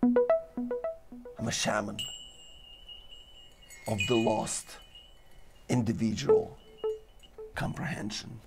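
A man with a gravelly voice hosts a small studio talk show, declaiming in long dramatic lists. His voice is strained and fading. Music plays clearly behind him. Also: mid-range voice, huge pitch swings, drawn-out words, pauses often. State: losing his voice. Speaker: show host. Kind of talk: rambling philosophical monologue. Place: studio with green-screen backdrop.

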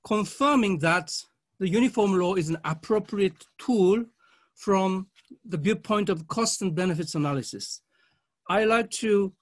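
Guy w high voice, doing a formal conference lecture, careful and halting, short phrases. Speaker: conference speaker, legal academic. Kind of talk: formal academic conference presentation read with slides.

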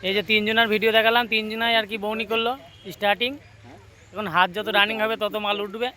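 Fast, bright, excited vlogger man. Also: high voice. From West Bengal, India. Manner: excited, addresses viewers directly. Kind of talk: casual running vlog commentary to viewers. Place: outdoors beside a pond.

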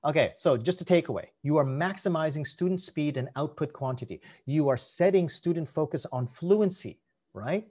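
A man in his fifties from Canada speaks in a conversational, persuasive way to a conference audience. His voice sits fairly high and swings widely in pitch. He is heard through a webcam on a video call. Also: lively intonation, normal speed, mellow. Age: 50s. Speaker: teacher presenting at a conference. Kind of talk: online conference presentation.

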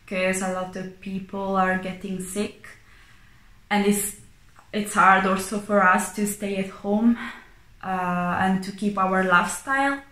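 A woman's voice: mid-range voice, a bit bright. A woman, explaining her situation to camera in a plain room. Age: 20s. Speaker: resident sharing experience. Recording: echoey room.

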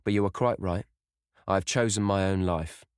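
A man voicing a rough, sullen young lad, blunt and gruff, in a storybook reading. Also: low-pitched, fairly even intonation, moderate pace, no echo, studio quality, close to an everyday voice. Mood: stern, determined.

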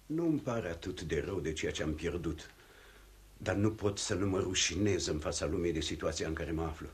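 A humiliated, weary middle-aged gentleman in a vintage radio play. His voice is very low and extremely husky, with formal, wounded dignity. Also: expressive, exaggerated voice. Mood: crushed, ashamed. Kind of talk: vintage radio theatre acting.

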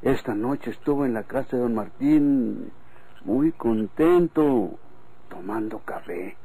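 A trusting, sleepy Mexican rural husband in an old radio serial. His mid-pitched voice is creaky and relaxed. Faint noise lies under the old recording. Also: slow, full character voice. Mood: complacent, reassuring.

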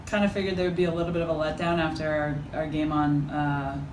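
A middle-aged woman coach speaks haltingly, restarting her sentences and filling pauses. She sits at a table mic some distance away in an echoey room. A steady noise hum sits beneath her. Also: deep voice. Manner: halting, many fillers and restarts. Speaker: head coach. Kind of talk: postgame press conference answers. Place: press conference room with backdrop. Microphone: table mic from a few feet.

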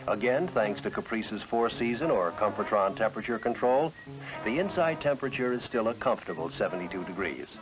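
A man's voice: on the low side, rough recording. An American man reading scripted 1970s promotional narration with a polished, emphatic announcer delivery, on a vintage film soundtrack.

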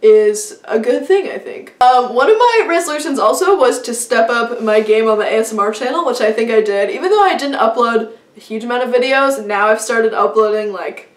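A woman's voice: on the high side, expressive, brisk, hardly any pauses, roomy sound, distant mic. A young woman talking to camera in a casual, upbeat vlog, chatty and full of fillers. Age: young adult. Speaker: vlogger. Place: small indoor room before a plain wall.

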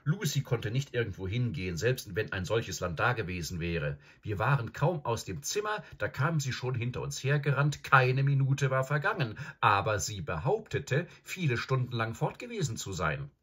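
Man putting on a high, anxious schoolgirl. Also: big pitch swings, a bit bright, slightly rough, heavily acted. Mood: insistent. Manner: hesitant, halting, plaintive. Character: troubled, earnest schoolgirl, anxious and protesting. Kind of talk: audiobook character acting.

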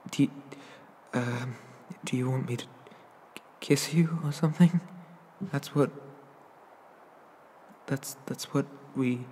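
A man playing a shy, awkward, inexperienced young incubus demon in an ASMR roleplay, stammering and apologetic, with a husky, breathy voice. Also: mid-range voice, a little mellow, in a large hall, slight background noise.

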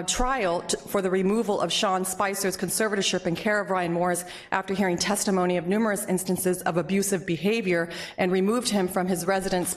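A woman reading a prepared statement at a county board meeting, giving dates and facts in a formal, measured way. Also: medium pitch. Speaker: public commenter.

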